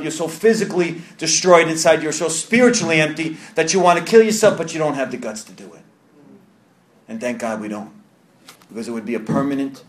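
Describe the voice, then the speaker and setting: Earnest New York man in an echoey room. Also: fairly high pitch. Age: 30s. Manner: earnest, confessional, repeats and restarts phrases. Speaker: recovery meeting speaker. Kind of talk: personal testimony to a group. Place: meeting room.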